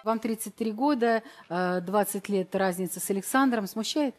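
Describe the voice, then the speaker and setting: A woman hosting a TV dating show, asking brisk, probing questions of the guests. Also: medium pitch, loud music behind the voice. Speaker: TV show host. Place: TV studio with live audience.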